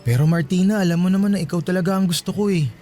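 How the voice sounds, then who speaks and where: A man's voice: high voice, very fast, mellow, soft background music, natural voice. A Filipino man playing a lovestruck, persistent teenage suitor in a radio drama, earnest and pleading.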